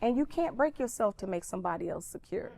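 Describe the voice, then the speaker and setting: A woman's voice: mid-range voice, very animated, fast talker, gravelly, mellow. A woman talking as a panel guest in casual chat, emphatic and colloquial, with a testifying tone.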